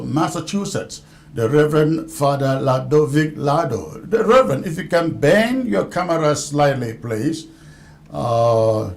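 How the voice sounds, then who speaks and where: A man hosts a live news discussion show. His voice sits a little high and swings widely in pitch. He speaks with formal, deliberate courtesy. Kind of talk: live broadcast hosting.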